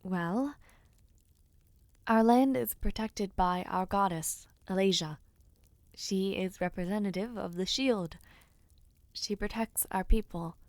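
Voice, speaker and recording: A woman acts out a young noblewoman who hopes to become a knight, in an intimate fantasy audio roleplay. She takes her time, choosing refined, slightly formal words with sincere affection and a self-deprecating streak. The sound is close and dry, with a mid-range pitch. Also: no echo. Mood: earnest, proud. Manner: gently formal, refined phrasing, unhurried and sincere. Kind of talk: audio roleplay, intimate one-sided dialogue to listener.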